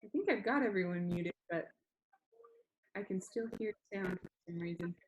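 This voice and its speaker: A woman's voice: fairly low pitch, expressive, normal speed. Woman teacher reading aloud in an echoey room. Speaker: teacher reading a novel aloud to an online class. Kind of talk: classroom read-aloud, first-person storytelling. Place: indoor room, online class call.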